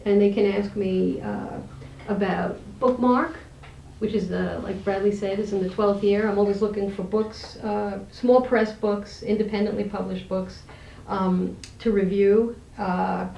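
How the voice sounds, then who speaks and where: A woman's voice: mid-range voice, steady tone, measured pace, gravelly, warm tone, dull audio, slight background noise. A woman professor as an interview guest, speaking in an articulate, earnest, explanatory way. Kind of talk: thoughtful interview conversation. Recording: roomy, distant microphone.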